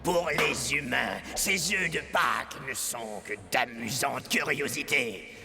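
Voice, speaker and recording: An actor playing a tyrannical, gluttonous male mouflon villain in a children's cartoon, gravelly, pompous and theatrical in a menacing way. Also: medium pitch, slow, music in the background. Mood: gloating command. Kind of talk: animated children's film dub.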